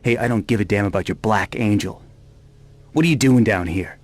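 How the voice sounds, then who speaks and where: An actor playing a cool, sardonic, brusque adventurer in a video game, with a dry, wry manner. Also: male voice, on the low side, a little fast, close to an everyday voice. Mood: urgent, brusque. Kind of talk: video game cutscene acting.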